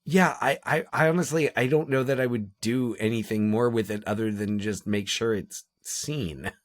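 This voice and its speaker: A man co-hosting a podcast, talking casually about movies in a halting way, often restarting his thoughts. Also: mid-range voice. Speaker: podcast co-host.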